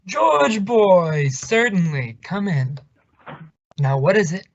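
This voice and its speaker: A man's voice: high-pitched, sing-song, slow, slight background noise, exaggerated voice. A man playing a hearty, stingy, patronizing boss in an old-time radio sitcom, with an effusive, blustery manner. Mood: hearty, proud. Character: Mister Atterbury, hearty, stingy male boss. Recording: dull lo-fi old radio recording.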